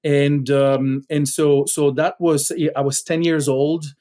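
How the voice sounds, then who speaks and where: A middle-aged man from Belgium with a Belgian accent, a podcast guest telling a story in a halting way with many fillers. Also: a little high, little pitch movement, dark tone. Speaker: podcast guest, company founder.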